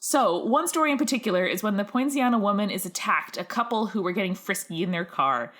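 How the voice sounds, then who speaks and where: A woman's voice: mid-range voice, animated, quick pace, fairly bright tone. A woman co-hosting a podcast, reading listener stories aloud and chatting casually with wry, playful asides. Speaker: podcast co-host.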